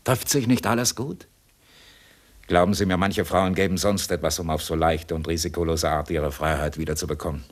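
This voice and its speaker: An actor playing a cool, sardonic escaped convict in a German radio crime drama, mocking and coaxing with cunning politeness. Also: male voice, sits low, fairly even intonation, brisk. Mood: coolly persuasive.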